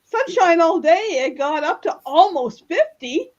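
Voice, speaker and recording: A woman's voice: extremely high pitch, sing-song, a little slow, dull audio. A woman telling rambling everyday stories over a video call, laughing often as she talks. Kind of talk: casual group chat.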